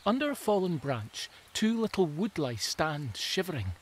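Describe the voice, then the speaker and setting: A man reading a children's story aloud in a gentle, measured and expressive way. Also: a little high, slight background noise. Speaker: narrator.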